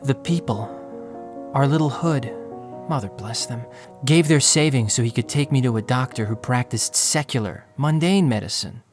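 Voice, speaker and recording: Wistful young narrator-hero, played by a man, mid pitch, music behind. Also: normal speed, close to an everyday voice. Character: young man in wheelchair, narrating his past. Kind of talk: audio drama narration.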